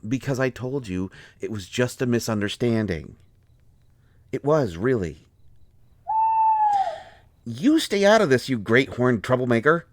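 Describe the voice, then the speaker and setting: A man's voice: medium pitch, very animated, measured pace, long pauses, no echo. A man acting out a harried, protective royal court doctor in an audio roleplay, defensive and exasperated with a lover. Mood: exasperated, teasing. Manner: pleading, exasperated, swinging from soft explaining to flustered outbursts. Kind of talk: dramatic audio roleplay, one side of a conversation.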